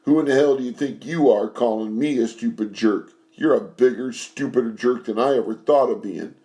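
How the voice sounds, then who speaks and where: A man's voice: mid-range voice, moderate pace, dark tone, natural voice. A man voicing a huge, hot-tempered band leader who blusters and threatens. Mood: angry.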